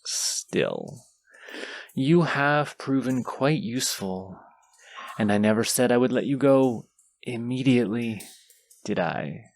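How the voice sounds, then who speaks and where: A man playing a proud, condescending wounded dragon in a fantasy audio roleplay, pompous and deliberate in his phrasing. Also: mid-range voice. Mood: sly, coaxing.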